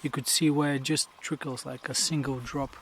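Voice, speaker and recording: A young man, a native Bulgarian speaker, vlogging on a mountain trail, talking to a handheld camera with many pauses and fillers. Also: mid-range voice, little pitch movement, fairly bright tone, a little hiss or hum. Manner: slow, hesitant, many fillers. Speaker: hiking vlogger. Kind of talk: casual trail vlog.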